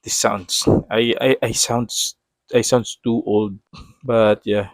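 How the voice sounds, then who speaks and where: A man in his thirties with a Filipino accent talks in a fairly low voice, casual and unhurried. He keeps correcting himself and hunting for the right English word as he plays. The recording is close and dry, with no room around it. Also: little pitch movement, dry recording. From Philippines. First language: Tagalog. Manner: rambling, self-correcting, searching for words. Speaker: gaming vlogger. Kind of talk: casual gameplay commentary.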